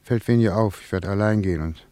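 A man playing a reflective working man in a German radio play, musing in halting phrases. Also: fairly low pitch, warm tone, no room sound, close to an everyday voice. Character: Ludwig, a reflective working man returned to his childhood town.

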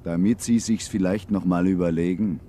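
Deep-voiced, scheming ship's officer acted by a man. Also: fairly even intonation, normal speed, dark tone, natural voice. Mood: sly, insinuating. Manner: sardonic, insinuating. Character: cynical, scheming ship's officer, slyly insinuating older shipmate. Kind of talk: 1950s radio drama acting. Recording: hissy 1950s radio recording.

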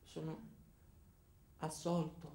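A man's voice: takes it slowly, warm tone, close to an everyday voice. An actor playing an anxious, bewildered ordinary man who stammers through a theatrical radio monologue in speech. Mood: stunned. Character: anxious, bewildered ordinary man recounting his own trial. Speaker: narrator and lead actor. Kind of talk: theatrical radio monologue acting out several characters.

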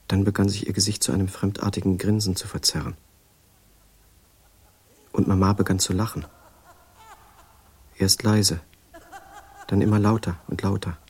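A male actor playing a grave storyteller haunted by fear and madness in an audio drama, with a slightly husky voice. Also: deep voice, a little mellow, full character voice.

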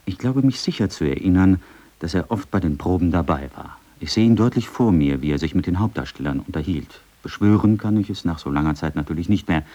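A man with a low, dark voice reads first-person testimony and quoted speeches. The tone is mellow, in the manner of a scripted radio feature. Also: lively intonation, fairly quick.

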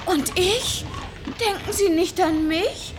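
A woman's voice: very high-pitched, takes it slowly. An actress in a German radio play voicing a young, anxious, pouting runaway niece, plaintive and girlish. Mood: pouting, reproachful.

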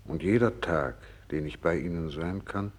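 An actor in a 1960s radio drama playing a gravely ill, devout doctor, husky and creaky, speaking slowly and solemnly with resigned fervour. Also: male voice, sits low. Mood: grateful, resolute. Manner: slow, solemn, resigned, fervent. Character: gravely ill, devout, selfless male Japanese doctor nearing death.

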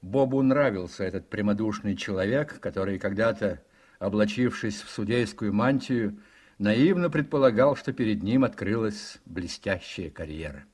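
A man reading dramatic scripted narration for a radio play in an expressive, literary manner. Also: fairly low pitch, moderate pace.

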